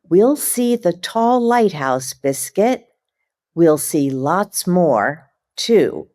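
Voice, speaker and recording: A woman voicing a cheerful little girl who cares for her puppy, in a gentle, sing-song read-aloud manner. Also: fairly low pitch, big pitch swings, very slow, exaggerated voice.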